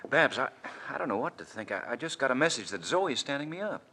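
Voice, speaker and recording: Lovelorn young athlete, bright lively voice, faltering, classic radio drama, noisy recording. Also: male voice, medium pitch, fast talker. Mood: flustered. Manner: unsettled, faltering. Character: flustered, lovelorn young male golf athlete. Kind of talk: 1940s-50s radio drama acting. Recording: old radio recording with clear noise.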